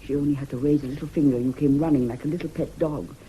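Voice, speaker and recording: An actress with a British accent playing a proud, accusing lover of a schoolmaster in a period radio drama, theatrical and emphatic. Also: female voice, low-pitched, steady tone, quick pace, lo-fi sound, a little hiss or hum, close to an everyday voice. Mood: bitter, scornful.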